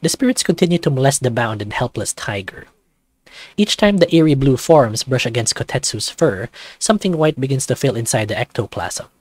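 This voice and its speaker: A man's voice: medium pitch, lively intonation, a little fast, no room sound. A man reading a visual novel aloud for his channel, with casual, hesitant asides. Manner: casual, hesitant, frequent "uh" fillers. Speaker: YouTube visual novel reader.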